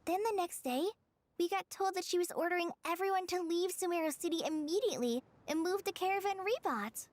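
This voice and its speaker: A woman's voice: very high-pitched, a little fast, darkish tone, dry recording. A woman playing an earnest, timid young apprentice ranger girl in an anime-style video game. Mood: worried. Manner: earnest, polite.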